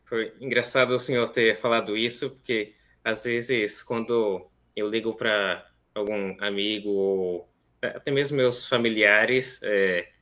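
A man's voice: on the low side, fairly even intonation, measured pace. A young man in his twenties from São Paulo with a São Paulo accent, hesitantly telling his story on a video call from a small plain room. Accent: São Paulo Portuguese. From São Paulo, Brazil. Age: 20s. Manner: soft-spoken, hesitant with many fillers. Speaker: seminarian guest. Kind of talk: casual interview, recounting his story. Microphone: video call webcam.

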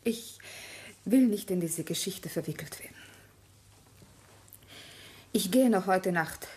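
A woman's voice: fairly low pitch, lively intonation, takes it slowly, a little mellow. An actress playing a resolute, reproachful grown daughter and protective mother in a radio drama, firm and emotional. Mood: hurt, resolute. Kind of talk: German radio drama acting.

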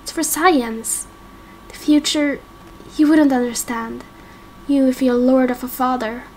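A calm, ominous villainess, voiced by a woman, high-pitched and slow. She sounds subdued and regretful in a game roleplay, with noise in the background. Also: poor audio. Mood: cold, regretful. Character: calm, regretful female captor devoted to science. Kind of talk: amateur game roleplay acting.

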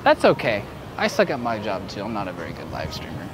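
A man in his thirties chatting casually and haltingly on an outdoor travel livestream, speaking into a clip-on mic. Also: fairly low pitch, huge pitch swings. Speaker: travel live streamer. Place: outdoors at a covered boat pier. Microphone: clip-on wireless mic on shirt. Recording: loud outdoor urban background noise.

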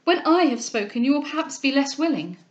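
A woman reading a classic novel aloud, voicing an anguished, proud young gentlewoman with urgent, formal, emphatic speech. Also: sits high, big pitch swings, fairly quick, dull audio, pitched above the performer's own voice. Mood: urgent distress.

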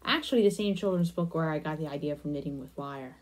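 A woman's voice: low-pitched, fast. A young adult woman chatting casually through a craft demonstration, absorbed and half talking to herself. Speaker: crafting vlogger. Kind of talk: casual crochet demonstration chat. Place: small attic room, indoors.

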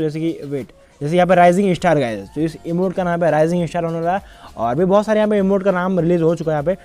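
Fast-talking high-pitched young man. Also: warm tone. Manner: repetitive verbal tags, chatty. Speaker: gaming YouTuber. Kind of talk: casual game update commentary.

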